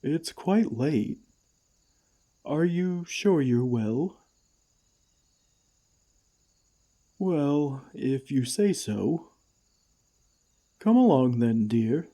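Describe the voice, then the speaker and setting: A man playing a gentle, caring friendly giant in an ASMR comfort roleplay, with tender, old-fashioned, unhurried phrasing. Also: mid-range voice, expressive, exaggerated voice. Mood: gentle concern.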